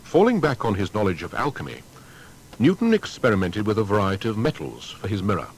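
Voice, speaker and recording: A man narrating a scripted historical biography in a formal storytelling manner with deliberate emphasis. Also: on the low side, slight background noise, rough recording.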